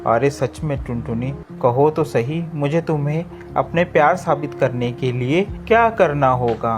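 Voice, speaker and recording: Cartoon bird: narrator doing a kind young male sparrow, calm and slow, soft guitar under. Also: medium pitch, lightly acted. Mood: earnest.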